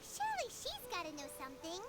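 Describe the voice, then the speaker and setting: A woman's voice: very high-pitched, wildly swooping pitch, fast talker, full character voice. A woman voicing a small, chirpy, cheeky childlike companion girl in a video game, perky, blunt and chatty. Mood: curious.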